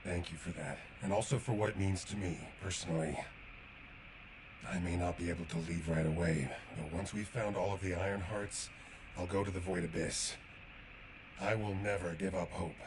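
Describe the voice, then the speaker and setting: An actor playing a grave, devoted warrior in a sci-fi video game, speaking in an earnest, measured way. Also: male voice, low-pitched, steady tone, background noise, lightly acted. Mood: grateful, solemn. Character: grave, devoted male sci-fi warrior grieving lost comrade. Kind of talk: video game quest dialogue.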